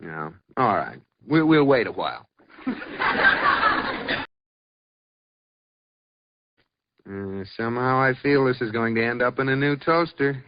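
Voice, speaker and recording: An actor playing a stubborn but good-natured husband in a 1940s radio comedy, with a sputtering, dry comic delivery. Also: male voice, medium pitch, sing-song, a little slow, long pauses. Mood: joking. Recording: dull hissy old radio broadcast.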